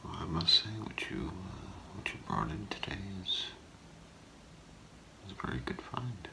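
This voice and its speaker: A middle-aged man doing an ASMR role play as a gemologist, in a hushed, halting, whispery murmur. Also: low voice, big pitch swings. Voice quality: breathy, whispery, gravelly murmur. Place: small curtained room indoors.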